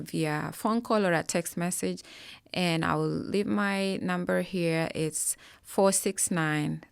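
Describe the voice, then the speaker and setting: A woman with a low, gravelly voice that still rings smooth and clear. She explains things earnestly in conversation, padding her answers with ums and you-knows. The sound is close and dry. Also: no echo. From Kenya. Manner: earnest, explanatory, with frequent fillers. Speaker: financial advisor guest.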